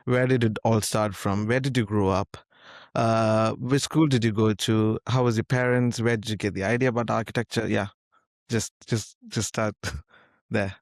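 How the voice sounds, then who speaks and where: A man's voice: lowish voice, fairly even intonation, normal speed, no room sound, studio quality. A young adult man hosting a podcast interview in an informal, friendly way with frequent fillers. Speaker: podcast host.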